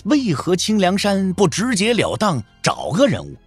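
Guy narrating a wuxia story, super lively pitch, theatrical, close dry mic, bit of music behind. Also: moderate pace, slightly rough, dry recording. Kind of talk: dramatic scripted audiobook narration. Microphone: close studio microphone.

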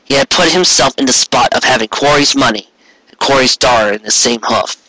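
Bright, intense male story narrator. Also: medium pitch, a little fast, no room sound, poor audio. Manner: intense, occasional stumbles over words. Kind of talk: dramatic reading of fan fiction.

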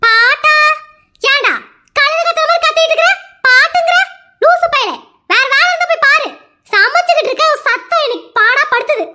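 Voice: very high voice, animated, fairly quick, bright, forceful tone, exaggerated voice. A performer voicing a short-tempered middle-aged mother who scolds and complains. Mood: scolding. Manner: scolding, exasperated.

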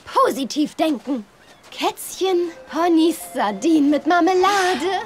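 A woman playing a sweet, scatterbrained young woman in an animated film, naive and quirky. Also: extremely high pitch, slow pace, faint music behind, exaggerated voice. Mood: chanting hopefully.

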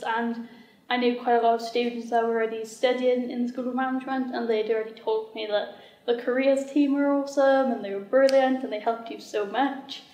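Young Welsh woman, flat chatty voice. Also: fairly high pitch. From Wales. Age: young adult. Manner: chatty, casual. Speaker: student. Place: university meeting room. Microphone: far camera. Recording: echoey meeting room.

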